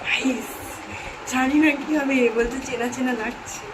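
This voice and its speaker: A woman's voice: high voice, fairly even intonation, unhurried. A young woman chatting casually as a vlogger making a prank phone call. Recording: distant mic, clear vehicle-like background noise.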